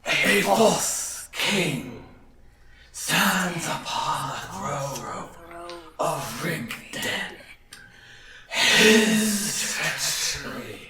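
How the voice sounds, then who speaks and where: A man voicing a grave, commanding otherworldly deity who makes slow, cryptic, archaic pronouncements in a tabletop roleplaying game. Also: fairly high pitch, pitched above the performer's own voice. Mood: ominous command. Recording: echoey, distant, voices in background.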